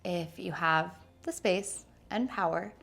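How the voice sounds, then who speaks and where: A woman in her thirties with a fairly low voice and plenty of vocal fry. She presents a product review to camera, talking conversationally and leaning on key words for emphasis. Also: slow pace, fairly bright tone. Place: indoor showroom with wood-slat wall.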